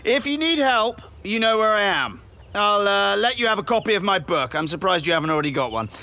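A woman's voice: medium pitch, animated, a little fast, voice pushed up. Woman putting on a swooping, pompous wizard professor. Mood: smug, breezy. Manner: pompous, breezy, loud. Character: vain, showy, self-promoting male wizard professor.

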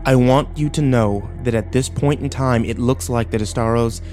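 A man's voice: mid-range voice, moderate pace, darkish tone, close to an everyday voice. A man playing a middle-aged sheriff and father in a dramatic story, stern, weary, firm and authoritative. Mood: businesslike. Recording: music behind.

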